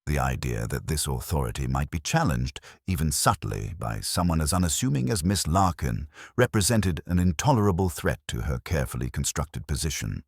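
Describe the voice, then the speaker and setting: A man with an extremely low voice narrates a mystery story. His delivery is formal and measured, yet the pitch moves in a lively way. The sound is dry. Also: gravelly, husky, no room sound. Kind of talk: scripted mystery audiobook narration.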